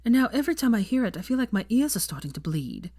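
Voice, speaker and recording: Tipsy young woman, done by a female narrator, high and giddy, swoopy dramatic storybook voice. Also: quick pace, slightly rough, no room sound, studio quality. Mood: grave complaint. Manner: excited, shrieking, slurred exclamations.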